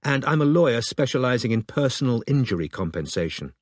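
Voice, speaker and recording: A man's voice: medium pitch, big pitch swings, fairly quick, no echo, studio quality. A man voicing a young woman who poses as a lawyer, speaking carefully, politely and professionally on the phone.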